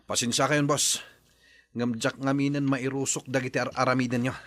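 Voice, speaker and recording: A man's voice: mid-range voice, flat delivery, normal speed, fairly bright tone, no room sound, lightly acted. An actor playing a nervous young male gang member who pleads and apologizes, in a radio drama. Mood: pleading.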